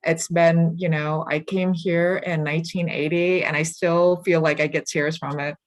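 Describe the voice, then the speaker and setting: Low-voiced woman telling her story. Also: level tone, moderate pace. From Vietnam. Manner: reflective, earnest storytelling. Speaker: guest. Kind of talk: casual interview. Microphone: remote call.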